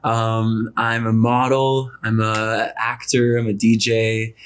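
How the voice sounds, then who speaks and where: A man's voice: fairly low pitch, completely flat delivery, takes it slowly, clear, resonant tone. Young man from Brazil, rambling. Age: 20s. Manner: rambling, heavy use of "like". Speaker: guest, model and actor. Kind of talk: casual interview chat.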